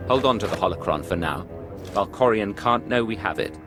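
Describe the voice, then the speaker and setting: A man's voice: lowish voice, lively intonation, a little fast, over music, close to an everyday voice. An actor voicing a male Imperial agent in a video game, speaking in a dry, guarded, skeptical way. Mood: wary. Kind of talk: video game cutscene acting. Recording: dialogue over loud game score.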